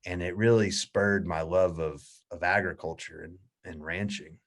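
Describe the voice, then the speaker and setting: A man around forty, a guest in a casual interview, telling stories in a relaxed, easygoing way. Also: deep voice, moderate pace. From United States.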